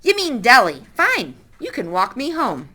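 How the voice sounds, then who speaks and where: A woman's voice: fairly high pitch, wildly swooping pitch, pushed and bright, pitched above the performer's own voice, exaggerated voice. A woman voicing a nosy, bossy little old woman who orders a boy around while she reads a story aloud. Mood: brisk. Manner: brisk, commanding, nosy.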